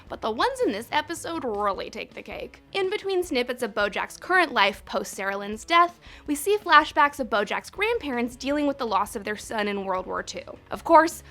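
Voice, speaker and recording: A woman's voice: a little high, sing-song, normal speed, hardly any pauses, fairly bright tone. A young woman hosting a countdown video, talking in a sarcastic, profane, chatty way. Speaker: host. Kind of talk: snarky countdown commentary.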